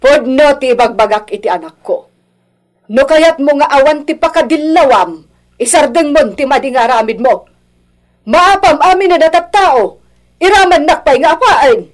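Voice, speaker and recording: A woman's voice: on the high side, a little slow. A woman acting an older, meddling mother-in-law who scolds and complains in a radio drama. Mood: indignant scolding. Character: meddling elderly mother-in-law, reproachful and self-righteous.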